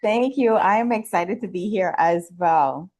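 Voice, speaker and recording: A woman's voice: medium pitch, measured pace, a little mellow. A woman in her forties from the Bahamas, telling her own story conversationally as an interview guest. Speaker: interview guest, wellness business owner and nurse.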